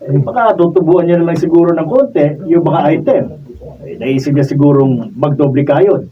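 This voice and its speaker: Actor as obliging talkative store owner, higher male voice, radio drama, distant noisy recording. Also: moderate pace, lightly acted. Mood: friendly, chatty. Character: chatty, obliging male shopkeeper. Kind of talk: Filipino radio drama acting. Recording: distant muffled mics, noisy raw studio video.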